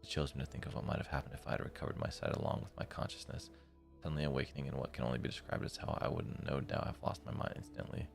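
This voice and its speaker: A man reading a visual novel's inner monologue as a tormented, bitter young man, in a very deep, gravelly voice with a measured literary delivery. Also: lively intonation, faint music behind, heavily acted. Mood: bleak, weary. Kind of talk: read-aloud visual novel narration.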